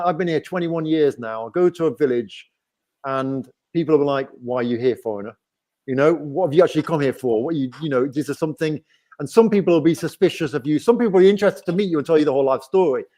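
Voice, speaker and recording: A man's voice: fairly high pitch, fast, warm tone. A man with an English accent hosting a casual live-stream chat, explaining things at length with frequent restarts. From England. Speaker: host.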